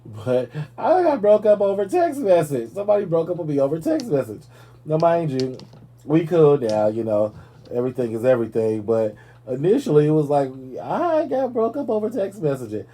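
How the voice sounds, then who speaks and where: A man's voice: fairly high pitch, normal speed. A man hosting a live talk show, chatting casually and sassily about celebrity gossip, with playful asides. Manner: sassy, animated, playful asides.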